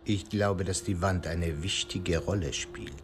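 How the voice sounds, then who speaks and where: An actor playing a courteous, probing private detective in a radio crime drama, asking polite, deliberate questions in a husky voice. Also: male voice, low voice, a little hiss or hum. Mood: polite, inquisitive.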